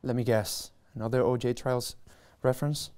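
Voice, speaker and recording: A man's voice: medium pitch, fairly even intonation, normal speed, darkish tone, clear, resonant tone, natural voice. A man voicing a frightened young man framed for a murder, pleading and panicky, in a script table reading. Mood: panicked. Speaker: table read actor.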